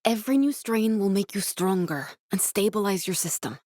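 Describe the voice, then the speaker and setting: Game role: guarded, earnest woman scientist played by an actress, medium pitch, naturalistic measured delivery, studio-dry sound. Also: animated, a little mellow, no echo, close to an everyday voice. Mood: calm, instructive. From born in Norway, raised in Glasgow (character). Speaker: video game character voice. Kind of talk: naturalistic video game dialogue acting.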